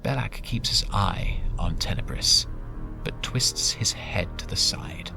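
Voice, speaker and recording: An actor as the composed storyteller of a fantasy audio drama, reading scripted narration. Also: male voice, on the low side, slow pace, vocal fry, full character voice. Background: music.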